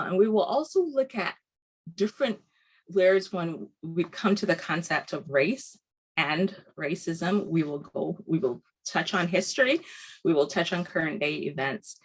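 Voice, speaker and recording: Low, gravelly woman lecturing. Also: poor audio. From Barbados. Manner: earnest, with frequent ums and restarts. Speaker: guest lecturer. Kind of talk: presenting a webinar lecture. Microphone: video call.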